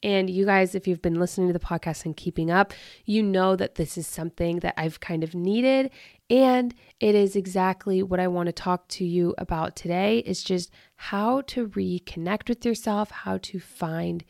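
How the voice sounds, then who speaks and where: Young woman, podcast host, gravelly, dry close sound, chatty and motivational, slightly allergy-worn voice. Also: lowish voice, normal speed, darkish tone, dry recording. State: voice lower than usual after allergies, early morning. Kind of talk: casual solo podcast talk.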